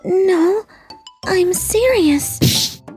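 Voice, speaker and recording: A woman voicing a shy, lonely young married neighbor in a manga dub, speaking softly and hesitantly. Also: very high-pitched, lively intonation, very slow, exaggerated voice. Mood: pleading. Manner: soft, pleading, hesitant. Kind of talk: anime-style manga dub acting. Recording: faint background music.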